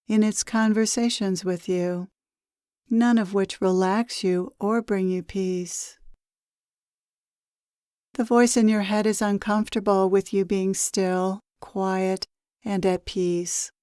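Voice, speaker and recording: A woman leading a guided meditation as a spiritual teacher, speaking in a soothing, measured and gently instructive manner with pauses. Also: medium pitch, clear, resonant tone, no echo, clean studio sound.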